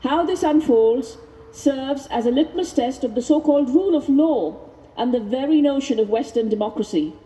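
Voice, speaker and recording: A woman reading a speech aloud at an outdoor vigil, speaking into a microphone in a measured, deliberate way. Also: high voice, lively intonation, mellow. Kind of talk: public speech read aloud at a rally. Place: outdoors at a vigil by prison gates. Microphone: handheld microphone. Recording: noisy outdoor PA with long echo.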